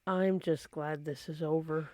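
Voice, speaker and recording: A woman's voice: sits low, steady tone, a little slow, warm tone, no echo. A woman playing a grateful, weary rescued heiress, speaking earnestly and politely in an old-time radio drama. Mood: relieved, earnest.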